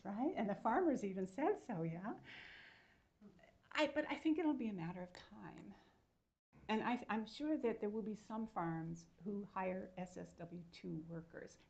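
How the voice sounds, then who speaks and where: A woman's voice: mid-range voice, gravelly, darkish tone. A woman researcher answering audience questions at a seminar, explaining at length and checking in with her listeners. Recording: dull lo-fi sound.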